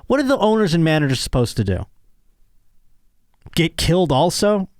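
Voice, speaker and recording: A man's voice: on the high side, very animated, moderate pace, a little mellow, dry recording, studio quality. A man with an American accent hosting a comedy podcast, reading out news and riffing on it in a casual, sardonic, profane way. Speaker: podcast host.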